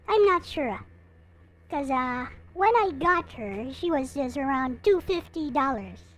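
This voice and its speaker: Female streamer, high voice, unhurried pace, casual chat with viewers. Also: slight background noise. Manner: chatting casually to viewers, replying to comments. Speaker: livestream host. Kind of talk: casual livestream chat showing collectible figures.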